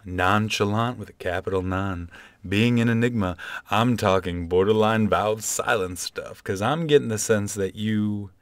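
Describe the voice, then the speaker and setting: A man playing a smooth, self-assured late-night love-advice radio DJ in a comedy radio play, with a theatrical, pompous delivery. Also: fairly low pitch, a little slow, no room sound, close to an everyday voice. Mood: suave, confident. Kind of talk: comedy radio play in old-time radio style.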